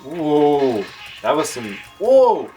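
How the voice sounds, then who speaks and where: A man's voice: fairly high pitch, big pitch swings, extremely slow, dark tone. A man hosting a casual tech and gaming vlog, talking informally with slangy, self-deprecating commentary. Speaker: video host. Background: background music.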